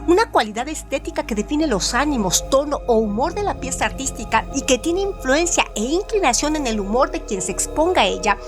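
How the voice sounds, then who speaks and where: A woman's voice: sits high, big pitch swings, fairly quick, few pauses, over music. A woman reading scripted literary radio narration in a formal, declamatory manner.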